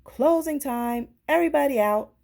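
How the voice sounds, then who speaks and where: A woman's voice: high-pitched, moderate pace, gravelly, darkish tone, pitched above the performer's own voice. A woman voicing a courteous male laundromat manager who calls out in a children's storybook. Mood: loud, calling. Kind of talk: children's storybook read-aloud.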